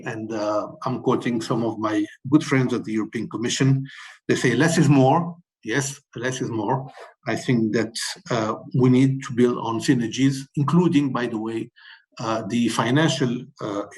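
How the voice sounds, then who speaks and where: A man from Morocco speaking as a webinar panelist, setting out his points in a measured way with many fillers and restarts. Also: medium pitch, darkish tone, rough recording. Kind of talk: policy talk, numbered key messages.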